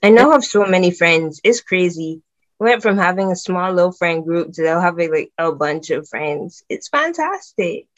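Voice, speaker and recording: A woman's voice: fairly low pitch, moderate pace, highs cut off. Bubbly young woman. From Barbados. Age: young adult. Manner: bubbly, excitable, laughs often. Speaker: guest. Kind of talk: casual video-call chat.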